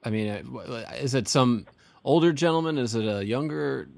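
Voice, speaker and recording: A man's voice: medium pitch, moderate pace, creaky, no room sound. A man hosting an interview, asking rambling, stacked questions. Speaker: podcast host. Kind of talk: casual interview.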